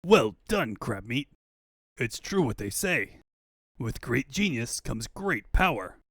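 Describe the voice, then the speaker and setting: A scheming evil overlord, acted out by a man for a comic fan dub. The delivery is unhurried, theatrical and full of pompous self-importance, on a dry, close recording. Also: mid-range voice, sing-song, a bit bright, no echo, heavily acted. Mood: gloating. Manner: grandiose, theatrical. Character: pompous scheming male villain, mad-scientist overlord.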